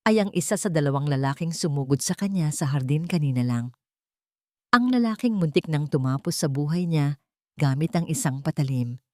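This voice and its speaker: A woman narrates a dramatic story from a script. Her voice is on the low side, with emphatic, suspenseful phrasing. The recording is close and dry, with little room sound. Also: lively intonation, normal speed, mellow, no room sound.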